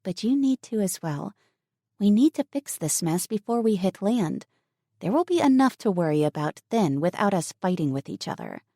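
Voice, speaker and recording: A woman voicing a kind, level-headed young woman who talks in an earnest, gentle way. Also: medium pitch, very animated, moderate pace, dry recording, clean studio sound, voice pushed up. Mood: flustered.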